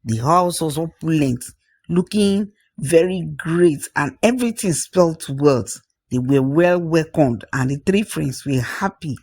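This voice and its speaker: Folktale narrator, expressive delivery with interjections, gravelly edge, close dry sound. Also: medium pitch, a little slow, no echo. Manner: expressive, with interjections and repeated phrases. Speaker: storyteller. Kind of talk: spoken folktale narration with acted dialogue.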